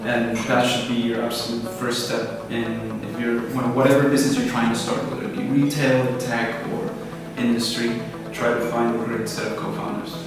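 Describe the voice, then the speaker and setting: A young man from Peru, a startup founder, answering interview questions in a relaxed, thoughtful way with many fillers. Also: mid-range voice, normal speed, few pauses. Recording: echoey room, far from mic, music behind.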